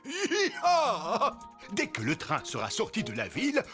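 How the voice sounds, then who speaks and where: A man's voice: sits high, huge pitch swings, measured pace, a bit bright, faint music behind, exaggerated voice. A man voicing a scheming, theatrical villain leader in a French cartoon dub. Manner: cackling, theatrical.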